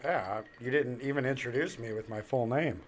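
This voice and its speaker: A brash man trading wisecracks as a guest on a casual podcast. His voice sits at an ordinary middle pitch. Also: moderate pace.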